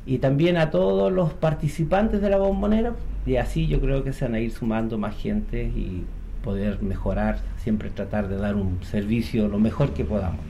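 A Chilean man, a guest in a radio interview, talking in a halting way with many fillers and restarts. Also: fairly low pitch, creaky, dark tone. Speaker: guest, co-owner of a sports venue. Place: radio studio. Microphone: studio microphone. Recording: light background noise, radio studio.

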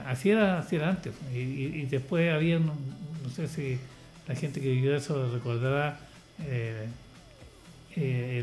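A man's voice: mid-range voice, a little mellow, poor audio. An older man speaking in casual radio chat, hesitant and rambling, with many restarts and fillers. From Chile. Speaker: radio guest, church choir leader.

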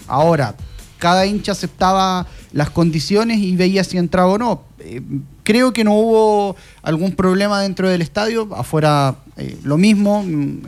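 A Chilean male reporter speaks in a fairly high voice, with soft music in the background. He gives his football report conversationally, feeling his way through measured phrases.

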